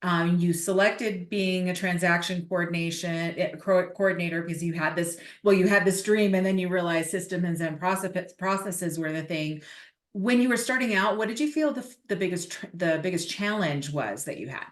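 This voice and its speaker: Female podcast host, lower pitch, encouraging, recorded in an echoey room. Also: normal speed. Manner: warm encouraging affirmations, restarts her questions. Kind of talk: interview questions, casual.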